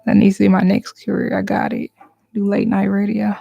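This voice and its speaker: Laid back woman chatting while she draws on stream, kinda gravelly, quiet music underneath. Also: mid-range voice, brisk, highs cut off. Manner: relaxed, chatty, talks to viewers while working, drops to whispers. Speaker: artist streaming a live drawing session. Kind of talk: casual live-stream chat while drawing.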